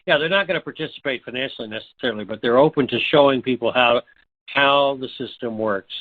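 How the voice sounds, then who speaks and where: A man's voice: mid-range voice, fast. A man co-hosting a conference call, giving conversational commentary in short explanatory phrases. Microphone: phone line. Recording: tinny phone line conference call.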